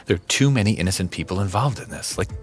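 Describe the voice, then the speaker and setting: A man's voice: low voice, lively intonation, quick pace, soft background music. An actor playing an earnest, principled man in an audio drama. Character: earnest, principled man urging the greater good.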